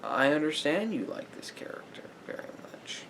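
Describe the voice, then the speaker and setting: A man speaking softly as a therapist in an ASMR roleplay, in a measured, halting, explanatory way. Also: a little high, very animated, background noise.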